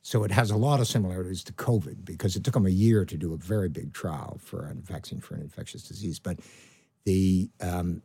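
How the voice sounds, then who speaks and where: A man in his seventies with a Canadian accent, speaking as a guest in a conversational interview, rambling with restarts and trailing off quietly. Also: low-pitched, a little fast, breathy edge, dry recording. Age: 70s. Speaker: guest, medical professor.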